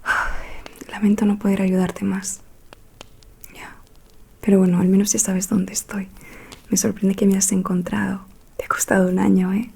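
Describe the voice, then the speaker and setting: A woman from playing a gentle, mysterious fortune-telling witch in an ASMR roleplay, speaking in a soft, soothing whisper. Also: mid-range voice, little pitch movement, creaky, breathy edge. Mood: intrigued, apologetic. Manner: slow, soothing, confiding.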